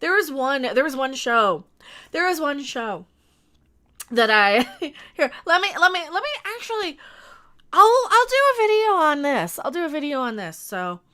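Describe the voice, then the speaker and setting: A woman's voice: sits high, pushed and bright. A sniffly woman hosting a casual live stream, rambling bluntly with restarts and asides to her chat.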